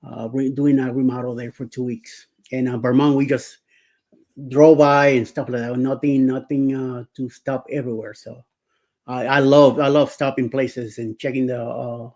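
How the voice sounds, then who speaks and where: A man in his sixties hosting a casual chat, telling stories with many pauses, fillers and restarts. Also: medium pitch, flat delivery, darkish tone. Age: 60s. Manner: rambling, many fillers and restarts, laughs often. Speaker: show host. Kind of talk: casual live-stream chat.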